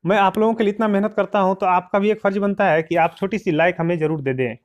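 A man's voice: sits high, fairly even intonation, fast. A man hosts an informal online news update. He addresses viewers directly in an explanatory way, sometimes slipping into reading aloud. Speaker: YouTube channel host.

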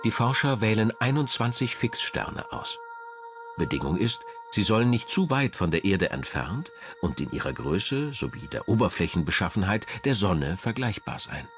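A man narrating a scripted documentary in a measured, dramatic way. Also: on the low side, very animated. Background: background music.

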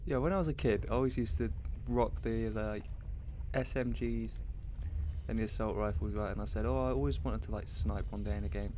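A young British man giving casual, excitable commentary on a shooter game, his voice a little croaky and jumping between mutters and loud exclamations. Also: mid-range voice, slight background noise. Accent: British English. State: slightly croaky after a gig. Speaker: gaming YouTuber.

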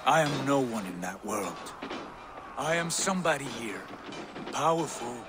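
An actor playing a proud, bitter viking warlord who speaks defiantly and grandly. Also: male voice, mid-range voice, animated, measured pace, background noise, lightly acted. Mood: grandiose. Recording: game audio with music behind.

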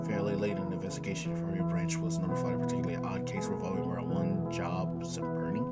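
A man's voice: lowish voice, fast talker. A young man giving casual, slangy reaction commentary as the host of a video. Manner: slangy, animated. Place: small indoor room, webcam setup. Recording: music behind the voice.

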